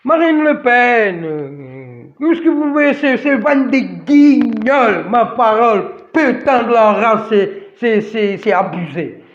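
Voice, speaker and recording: A man's voice: very high voice, expressive, slow. A man who moved to France and speaks French as a second language, delivering an emphatic, repetitive rant straight to his listeners with many restarts. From outside France. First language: not French. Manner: addresses listeners directly. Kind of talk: ranting monologue to camera. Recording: dull lo-fi recording.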